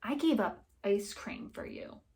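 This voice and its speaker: A woman's voice: mid-range voice, huge pitch swings, unhurried, gravelly, darkish tone, exaggerated voice. A woman voicing a jilted young woman in an audiobook, indignant and incredulous, with an emphatic, exasperated way of talking. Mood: exasperated ranting. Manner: exasperated, emphatic, stammering disbelief.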